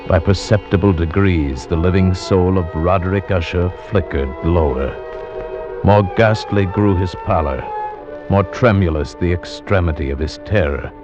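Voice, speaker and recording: An actor playing a grave, dread-filled friend and narrator in a solemn, portentous 1940s radio horror style. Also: male voice, sits low, normal speed, natural voice. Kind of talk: dramatic gothic narration, 1940s radio acting. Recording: dull lo-fi 1940s radio recording. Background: dramatic music score.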